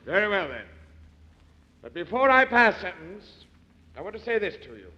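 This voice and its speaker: A solemn courtroom judge in 1940s radio style, formal and declamatory. The voice is high and forceful, ringing with long reverb. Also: male voice, big pitch swings, normal speed, full character voice. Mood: stern, solemn. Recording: hollow reverberant old radio recording, dull.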